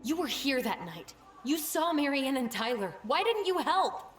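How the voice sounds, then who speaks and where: Accusing young woman, highish lively voice. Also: a little slow, echoey room, heavily acted. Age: young adult. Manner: confrontational, pointed. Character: accusing young woman, indignant and unforgiving daughter. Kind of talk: dramatic video game cutscene acting.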